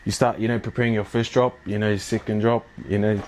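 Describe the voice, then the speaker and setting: A man's voice: on the low side, little pitch movement, fast talker, darkish tone, slight background noise. Laid-back young New man. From New Zealand. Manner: laid-back, slangy, many fillers. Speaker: clothing brand owner and athlete. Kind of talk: casual interview chat. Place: his apartment.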